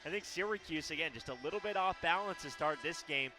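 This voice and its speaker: A man's voice: fairly high pitch, quick pace, over music. A man giving conversational, analytical color commentary on a basketball radio broadcast.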